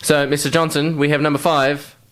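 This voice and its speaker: A man's voice: fairly high pitch, flat delivery, a little fast, fairly bright tone. A man hosting a podcast in casual, sweary chat about films and video games. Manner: foul-mouthed. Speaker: podcast host.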